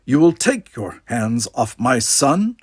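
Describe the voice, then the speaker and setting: A man voicing a commanding space station commander in an audiobook, with measured, emphatic speech and tightly controlled anger. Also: medium pitch, big pitch swings, darkish tone, breathy edge, dry recording, exaggerated voice. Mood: deadly anger.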